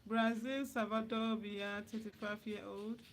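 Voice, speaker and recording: The sort of voice heard on an evening phone livestream from someone's room: a Nigerian woman, fairly high, directly addressing viewers and repeating herself over faint noise. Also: steady tone, measured pace. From Anambra State, Nigeria. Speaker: livestream host giving relationship advice. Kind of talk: casual live chat, greeting viewers. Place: dim room at home.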